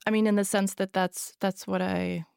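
A woman's voice: mid-range voice, little pitch movement, normal speed, creaky, a little mellow, clean tone, no room sound, clean studio sound. A woman author born in Hungary and raised in Sweden, a native Hungarian speaker, answering interview questions hesitantly with many fillers and restarts. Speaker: author guest. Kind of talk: podcast interview.

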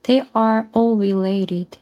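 A young woman from South Korea with a Korean accent, a non-native speaker answering interview questions earnestly in halting phrases. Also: mid-range voice, fairly even intonation, muffled recording. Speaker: interview guest, mental health nurse and researcher.

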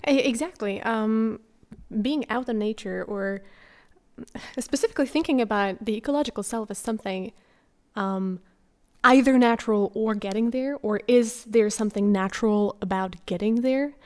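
A woman's voice: a little high, moderate pace, a little mellow. A young woman from Slovakia, a master's student on a panel, speaking hesitantly with many ums and restarts. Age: young adult.

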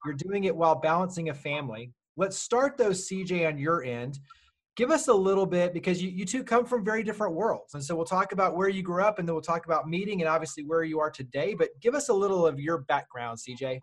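A man's voice: a little high, lively intonation, quick pace. Podcast host, a man talking conversationally. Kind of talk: upbeat interview talk.

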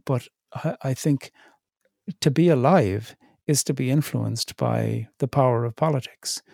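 A middle-aged man speaking reflectively as an interview guest, thoughtful and searching, pausing and restarting as he works through his ideas. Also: mid-range voice, creaky, darkish tone, no room sound, studio quality. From Ireland. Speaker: theologian in residence, interview guest.

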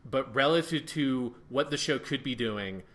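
A man's voice: mid-range voice, normal speed, fairly bright tone. A man co-hosting a casual review discussion, rambling loosely and swearing freely. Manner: rambling, many fillers and restarts, swears casually. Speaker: podcast co-host.

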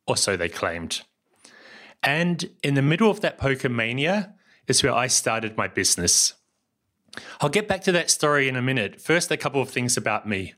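Bright-voiced New Zealand man narrating. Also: medium pitch, very animated, moderate pace. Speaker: podcast host. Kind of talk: scripted solo podcast narration.

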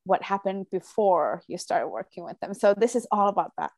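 A woman's voice: medium pitch, very animated, moderate pace, darkish tone, no echo. Eager chatty woman hosting a podcast. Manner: chatty, eager, lots of fillers. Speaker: podcast host. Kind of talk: casual podcast interview.

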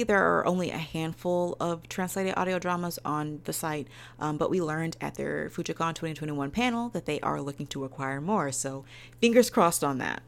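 A woman's voice: low voice, gravelly. A woman hosting a podcast review in an enthusiastic, emphatic and playful way. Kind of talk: semi-scripted podcast review.

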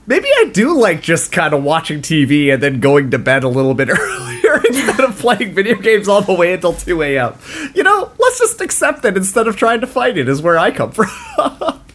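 A man's voice: sits high, wildly swooping pitch, normal speed, a bit bright. A man in his late twenties co-hosting a casual podcast, laughing often and mocking himself. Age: late 20s. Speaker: podcast co-host.

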